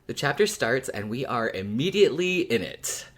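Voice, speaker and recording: A podcast speaker in a casual fan discussion, talking in an animated, colloquial way. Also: mid-range voice, moderate pace. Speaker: podcast co-host or guest.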